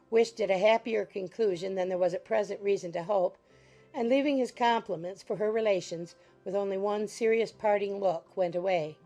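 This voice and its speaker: Female narrator for dramatized classic audiobook. Mid-pitched voice, scripted literary read, music underneath. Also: darkish tone, poor audio.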